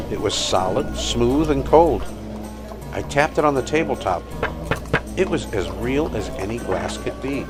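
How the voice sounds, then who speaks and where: Low-pitched man narrating over music. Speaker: storyteller narrating his own audio play. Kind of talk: scripted first-person dramatic narration.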